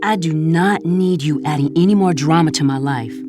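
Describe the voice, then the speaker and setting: A woman's voice: low-pitched, moderate pace, natural voice. A woman playing a guarded, exasperated middle-aged mother in an audio drama, firm and emphatic. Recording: music under the voice.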